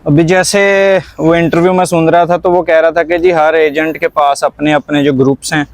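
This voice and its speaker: A man's voice: fairly high pitch, level tone, moderate pace, dry recording. A young Pakistani man with a Punjabi accent hosting a casual conversational vlog, mixing Punjabi and English words into his talk. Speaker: vlog host.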